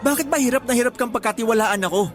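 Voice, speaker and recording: A man's voice: very high voice, racing. A young man acting a defensive, confused boyfriend pleading in a radio drama. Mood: shocked, confused. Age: young adult. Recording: music behind.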